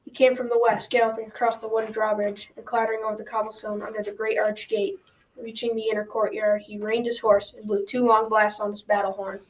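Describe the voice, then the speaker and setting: A woman's voice: medium pitch, flat delivery, background noise, natural voice. A young girl playing a kindly, folksy old grandfather in a school radio drama. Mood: storytelling. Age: child or teen. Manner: folksy, measured advice-giving. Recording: slightly distant school recording.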